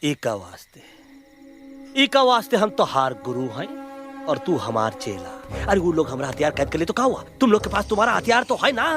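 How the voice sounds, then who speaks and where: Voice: mid-range voice, big pitch swings, normal speed, fairly bright tone, soft background music. An actor voicing a boastful, bossy monkey ringleader in a cartoon film, with a rustic Bhojpuri-flavoured Hindi accent and a pompous way of giving orders. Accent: eastern Hindi belt, Bhojpuri-flavoured. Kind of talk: animated film voice acting.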